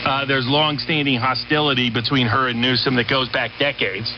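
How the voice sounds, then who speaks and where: A man's voice: mid-range voice, fairly even intonation, moderate pace. A man giving unscripted political analysis as an interview guest, emphatic and building his points in lists and repeated phrases. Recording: dull, noisy shortwave radio relay.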